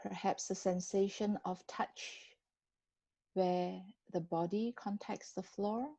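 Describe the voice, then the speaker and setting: A woman guiding a body scan meditation in a gentle, unhurried manner with long pauses between phrases. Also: mid-range voice, steady tone, gravelly, dry recording. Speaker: meditation guide.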